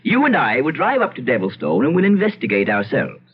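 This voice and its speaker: A blustery, swooping gentleman landowner. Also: mid-range voice, wildly swooping pitch, fairly quick, husky, heavily acted. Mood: brisk, commanding. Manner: pompous, emphatic, self-interrupting. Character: blustery Irish gentleman landowner, imperious, skeptical yet uneasy. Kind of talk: old-time radio drama acting. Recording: muffled, hissy old radio recording.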